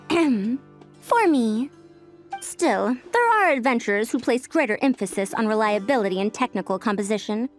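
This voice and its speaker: A woman voicing a proud, prickly scholar with a youthful look and an old-fashioned, pompous lecturing manner in an anime game dub. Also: fairly high pitch. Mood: critical lecturing.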